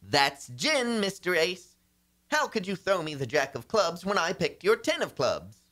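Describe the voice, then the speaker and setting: Henpecked radio-comedy husband played by a man, slow, swooping pitch, earnest and fussy. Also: big pitch swings, sharp tone, full character voice. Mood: meek, fussy. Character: meek, henpecked husband trying to sound firm. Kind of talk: old-time radio comedy acting.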